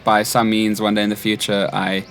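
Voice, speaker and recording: A man's voice: fairly low pitch, completely flat delivery, a little fast, fairly bright tone, soft background music. Young South African man chatting casually. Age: 20s. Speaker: interview guest, stage crew technician. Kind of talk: casual chat, personal anecdotes.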